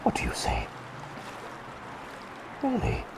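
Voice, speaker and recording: Man whispering as a gentle, bumbling bird. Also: slow pace, close to an everyday voice. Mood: eager, gently awkward. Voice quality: breathy whisper with creaky low voiced moments. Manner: hesitant, polite, repeating phrases softly. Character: gentle, bumbling talking bird doctor, kindly and silly. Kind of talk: whispered ASMR roleplay.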